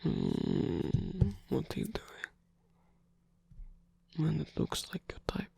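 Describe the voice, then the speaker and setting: A man playing a flirtatious, theatrical rock singer in an intimate ASMR romance roleplay, teasing and murmuring with breathy, husky, hesitant speech. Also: on the high side, wildly swooping pitch, slow pace, mellow, exaggerated voice. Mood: amused, touched. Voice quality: breathy, husky, often whispered. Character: flirtatious theatrical male rock singer, tired, playfully indulgent lover.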